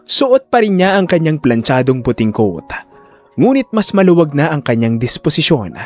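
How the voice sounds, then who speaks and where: A man narrating a scripted audio drama in a deliberate, solemn storytelling manner. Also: a little high, very animated, a little mellow. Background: background music.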